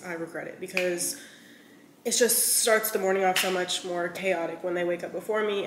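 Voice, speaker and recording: Chatty woman vlogging in an echoey kitchen. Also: mid-range voice. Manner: chatty, rambling, many fillers. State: scratchy throat, clearing it. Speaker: lifestyle vlogger. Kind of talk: casual chat to camera. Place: large bright home kitchen. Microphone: camera on a counter, mid-shot. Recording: echoey kitchen with faint room noise.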